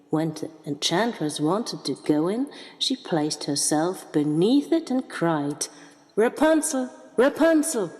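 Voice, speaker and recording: Slow, mellow woman storyteller with reverb. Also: lowish voice. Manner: measured, deliberate phrasing. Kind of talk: scripted fairy-tale narration. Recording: reverberant hall with music behind. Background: music.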